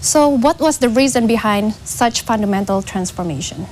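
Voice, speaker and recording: A woman's voice: fairly high pitch, expressive, normal speed, a little mellow, slight background noise, rough recording. A woman with an Indonesian accent asks questions as the interviewer in a corporate interview.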